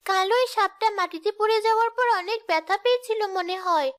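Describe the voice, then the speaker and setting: A woman's voice: extremely high pitch, fairly quick, a bit bright. A woman voicing a kind, dutiful young village daughter in a cartoon, with faint crickets behind. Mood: worried, thoughtful. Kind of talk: dubbed cartoon dialogue.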